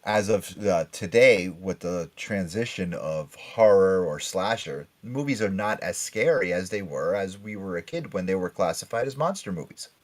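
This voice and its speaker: A man's voice: deep voice, animated, moderate pace, few pauses. Rambling, emphatic man hosting a chat show. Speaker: radio show host. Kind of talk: casual pop-culture panel chat.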